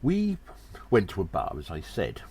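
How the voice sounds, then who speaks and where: A man voicing a gnome adventurer who earnestly narrates his exploits in an audio drama, with a theatrical, halting delivery. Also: sits low, wildly swooping pitch, creaky, darkish tone, a little hiss or hum, full character voice. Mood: earnest, conspiratorial.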